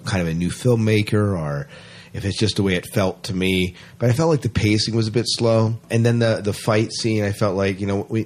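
A man's voice: deep voice, a little mellow. A man hosting a podcast, chatting casually and emphatically as he gives a review. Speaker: podcast host reviewing a fan film.

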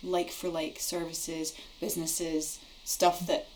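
A woman's voice: low-pitched, little pitch movement, takes it slowly, darkish tone, rough recording. A middle-aged woman hosting a podcast conversation, often hesitating and restarting her phrases. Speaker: podcast host. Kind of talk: conversational interview.

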